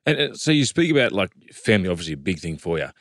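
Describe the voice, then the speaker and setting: A man hosting a podcast interview, friendly and matey, with quick interjections. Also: sits low, big pitch swings, dry recording, studio quality. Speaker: podcast host. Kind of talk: casual interview chat.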